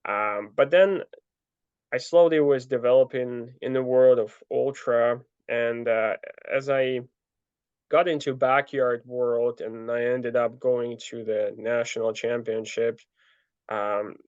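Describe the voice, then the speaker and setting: A young man telling his story in a relaxed interview, full of fillers and restarts. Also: mid-range voice, slow pace, clear, resonant tone, no room sound. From Ukraine. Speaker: guest, ultra trail runner. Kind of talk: casual interview answers. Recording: dull lo-fi dry sound.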